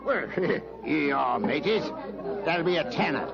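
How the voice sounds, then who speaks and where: An actor playing a jolly, gossipy working-class English publican in a radio drama, matey and rambling with chuckles and a gravelly voice. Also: male voice, on the high side, animated, measured pace, over loud music. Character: jolly, gossipy working-class pub landlord, former coachman. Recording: muffled radio recording, noisy pub crowd.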